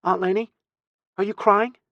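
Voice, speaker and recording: A man's voice: high-pitched, fairly even intonation, moderate pace, dry recording, highs cut off, pitched above the performer's own voice, lightly acted. A man voicing a small, stubborn, pleading boy in an audiobook, with a whiny, childlike manner. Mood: confused.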